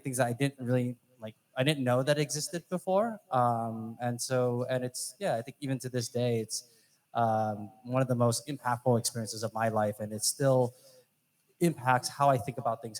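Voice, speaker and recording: American man introducing himself, mid-pitched voice. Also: steady tone, moderate pace. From United States. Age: 40s. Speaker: alumni speaker. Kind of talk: informal self-introduction to an audience.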